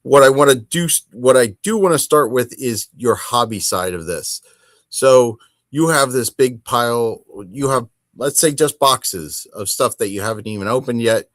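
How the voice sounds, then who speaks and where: A man with a mid-pitched voice talks casually as a solo podcast host. His delivery is conversational and emphatic, with self-corrections along the way. The sound is close and dry. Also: moderate pace, fairly bright tone, dry recording. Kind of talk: casual solo hobby talk.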